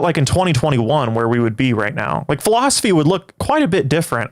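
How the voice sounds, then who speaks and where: A young man, a native Greek speaker, chatting with his livestream audience in a rambling, halting way with many restarts. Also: mid-range voice, a bit bright, smooth. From Greece. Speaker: livestream host. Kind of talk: casual livestream chat.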